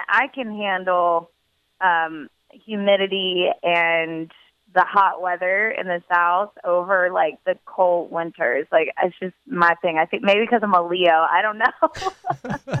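A woman's voice: fairly low pitch, clean tone, no echo. Giggly young woman on a tinny phone line. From Pennsylvania. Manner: chatty, giggly, many restarts. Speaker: singer guest. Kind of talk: casual phone interview. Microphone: telephone.

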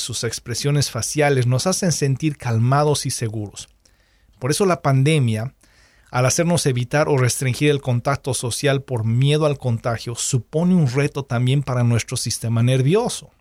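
A man's voice: mid-range voice, a little mellow, dry recording. Bilingual male interview host, dry sound. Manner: switches between Spanish and English, repeats questions in both.

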